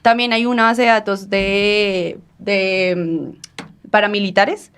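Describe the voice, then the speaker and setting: A woman journalist from Colombia, a radio guest who explains at length with restarts and hesitant pauses. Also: mid-range voice, steady tone, fairly bright tone, clear, resonant tone. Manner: rambling, many fillers and restarts.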